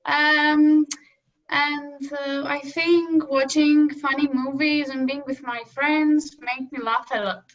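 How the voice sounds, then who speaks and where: Distant, high-voiced young woman. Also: fairly even intonation, slow. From Greece. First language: Greek. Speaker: student, panel participant. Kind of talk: simple conversational introduction. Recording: distant, dull video-call audio.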